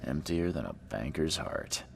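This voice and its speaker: Hard-bitten game detective, rumbling bass, gravelly, dry sardonic lines, noisy game audio. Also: male voice, animated, a little slow, heavily acted. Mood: wry grim. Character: grim hard-bitten male detective, middle-aged. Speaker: game protagonist, private investigator. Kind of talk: video game voice acting. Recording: game audio with background noise.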